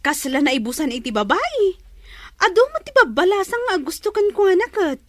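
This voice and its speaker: A woman's voice: very high voice, sing-song, fairly quick, a bit bright, no room sound, clean studio sound, exaggerated voice. A woman playing a catty, disbelieving young daughter in an Ilocano audio drama. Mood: incredulous, mocking.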